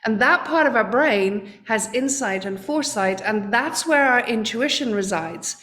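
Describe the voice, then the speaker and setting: Woman guest, earnest and halting, slightly high voice. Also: lively intonation, fairly bright tone. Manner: earnest, searching for words, repeats herself. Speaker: guest, trading coach. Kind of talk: podcast interview.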